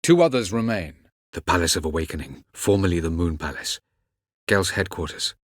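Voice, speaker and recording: A cold, haughty elven mage from a fantasy game. His voice sits low and is recorded close and dry. He speaks in measured, formal, archaic phrases with a condescending edge. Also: expressive, no room sound. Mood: grave, explaining. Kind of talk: video game character dialogue.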